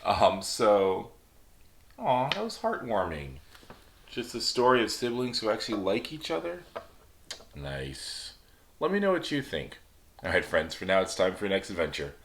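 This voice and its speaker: A man hosting a storytelling show, reading a folk tale aloud in a relaxed, chatty way. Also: fairly low pitch, unhurried, long pauses, echoey room, a little hiss or hum. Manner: relaxed, chatty, reads aloud with small slips and laughs. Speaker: storyteller host.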